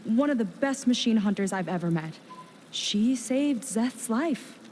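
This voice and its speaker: An actress playing an earnest, loyal young warrior companion in a video game, with a persuasive manner. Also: female voice, on the high side, lively intonation, measured pace, darkish tone, slight background noise. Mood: admiring, persuasive.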